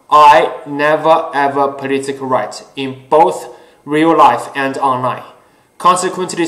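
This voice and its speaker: Slow-talking man, Chinese his first language. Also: fairly high pitch, fairly bright tone. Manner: earnest, deliberate, many pauses and fillers. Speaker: host. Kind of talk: casual solo talk show. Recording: echoey room.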